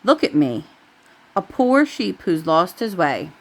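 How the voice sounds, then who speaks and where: A woman's voice: on the low side, big pitch swings, takes it slowly, exaggerated voice, low-quality recording. Woman reading as a sly wolf feigning meekness. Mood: feigned pitiful. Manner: meek, bleating pretence. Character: sly hungry male wolf posing as meek lost sheep.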